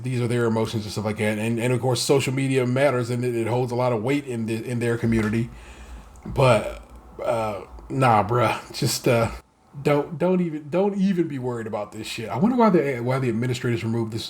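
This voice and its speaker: A man's voice: medium pitch, fairly quick, a little mellow. Middle-aged male podcast host, casual and profane, lots of restarts. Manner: loose, profane, laughing, talks in restarts. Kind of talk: casual chat.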